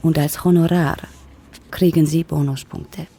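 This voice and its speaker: An actress playing a composed, businesslike government official in a radio drama, measured, discreet and matter-of-fact. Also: female voice, deep voice, steady tone, warm tone, husky, full character voice. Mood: businesslike, persuasive.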